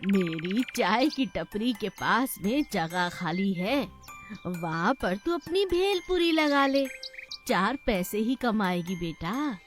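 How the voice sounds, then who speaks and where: A woman voicing an elderly village aunt who gives kindly, blunt advice in a 3D animated moral story. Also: mid-range voice, slow, faint music behind. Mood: consoling.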